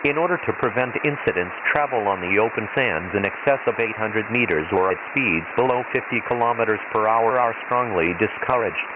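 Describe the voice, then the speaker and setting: Casting: man performing formal, impersonal male sci-fi weather-radio announcer, lowish voice, measured bulletin delivery, radio filter with clear static hiss. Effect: radio transmission filter. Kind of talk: scripted emergency weather bulletin, read like automated weather radio. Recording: hissy, noisy shortwave-style radio transmission.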